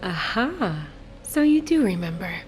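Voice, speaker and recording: A woman's voice: medium pitch, sing-song, measured pace, slight background noise, rough recording. Assured, playful female mentor. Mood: confident, firm. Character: confident, worldly female mentor, teasing yet firm.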